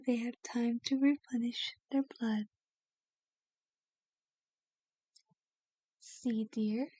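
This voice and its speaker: Soft, slow, genteel vampire lady played by a woman. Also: fairly high pitch, dry recording. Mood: soothing. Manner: gentle, soothing, formal and genteel wording. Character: kind, genteel female vampire, ageless, soothing and possessive. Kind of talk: ASMR roleplay acting.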